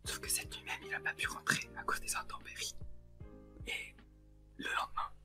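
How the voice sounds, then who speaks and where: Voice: normal speed, bright, forceful tone. A young adult whispers a casual anime review as co-host, using informal youth slang. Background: music.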